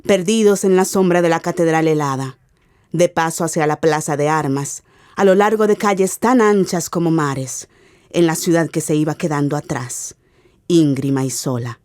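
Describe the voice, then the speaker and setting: A dry, close studio sound carries a woman's fairly low voice. She recites scripted literary narration for radio, deliberately and with dramatic weight. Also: darkish tone, dry recording.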